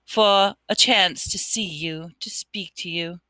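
A reader voicing a calm, menacing teenage boy who speaks softly and smugly. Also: medium pitch, slow pace, no echo, low-quality recording. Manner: soft, quiet, smug.

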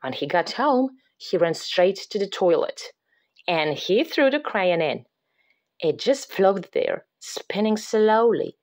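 A mother reads a spooky picture book aloud at an unhurried pace. Her pitch rises and falls with lively expression. Now and then she slips into a theatrical whisper. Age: adult. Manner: dramatic, drops to whispers for creepy moments. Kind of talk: expressive read-aloud storytelling.

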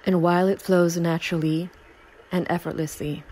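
A woman guiding a sleep meditation in a slow, soothing, unhurried way with long pauses. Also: lowish voice, little pitch movement, a little mellow. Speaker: meditation guide. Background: Tibetan singing bowl tones.

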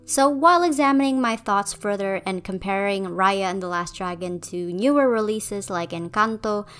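A woman's voice: medium pitch, normal speed, a bit bright, clear, resonant tone, faint music behind. A young woman from Indonesia reading a scripted commentary voice-over in a conversational, opinionated and slightly wry way. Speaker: video essayist.

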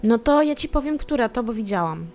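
A woman playing a tabletop role-playing game, describing her character's actions in a brisk, decisive way. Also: on the high side, animated, a little mellow, soft background music. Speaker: player.

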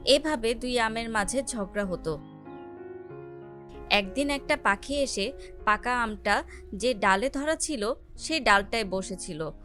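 A woman's voice: a little high, lively intonation, slow, pauses often, sharp tone. A woman narrating a scripted children's story. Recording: music under the voice.

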